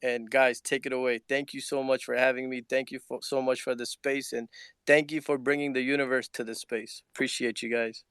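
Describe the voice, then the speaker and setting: A man giving enthusiastic thanks and shout-outs in a casual online audio chat, often saying "man". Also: mid-range voice, flat delivery, brisk, clean tone, no echo, clean studio sound. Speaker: Twitter Spaces speaker.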